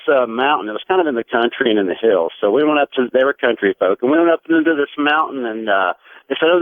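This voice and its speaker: A man's voice: mid-range voice, dry recording. An American man in his forties calling a radio show, earnestly recounting a personal story in a conversational way. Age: 40s. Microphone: telephone. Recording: tinny narrow phone line on radio.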